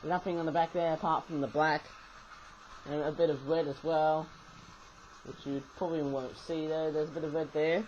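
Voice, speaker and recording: Croaky, rambling man reviewing toys. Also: on the high side, little pitch movement, normal speed, a little mellow, dull audio, slight background noise. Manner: rambling, self-correcting, chatty asides. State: croaky from just waking. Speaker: toy reviewer. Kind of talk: casual unboxing review. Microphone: webcam.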